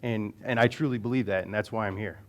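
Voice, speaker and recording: A man's voice: fairly low pitch, fairly even intonation, fast talker. A man from the United States giving a conversational conference talk in a hall, with many fillers and restarts. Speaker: conference speaker.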